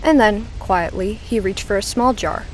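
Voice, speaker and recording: Female narrator, mid-range pitch, horror audiobook reading, measured, suspenseful pauses, stormy background noise.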